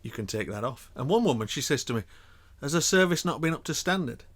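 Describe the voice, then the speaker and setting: A man's voice: mid-range voice, expressive, fast talker. A man co-hosting a podcast, telling opinionated stories with the odd swear word and laughing easily. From England. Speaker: podcast co-host. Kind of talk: casual chat.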